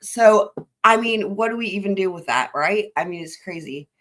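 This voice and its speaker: A woman hosting a live stream, chatting excitedly and directly to her viewers about courtroom clips. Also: mid-range voice, normal speed. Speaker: livestream host. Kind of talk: casual live commentary.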